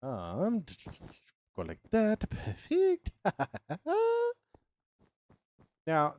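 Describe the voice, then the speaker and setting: A man in his thirties talking his way through a game build on a live stream, chatting to himself and his viewers. His pitch swings up and down a lot, but he takes his time, humming nonsense syllables and repeating words over and over. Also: wildly swooping pitch, very slow, a little mellow. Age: 30s.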